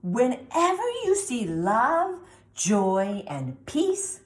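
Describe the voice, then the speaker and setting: A female reader voicing a gentle, reassuring grandmother in a children's picture book, speaking with loving emphasis. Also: on the high side, very animated, very slow, full character voice. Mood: tender, emphatic. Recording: roomy echo, faint background noise.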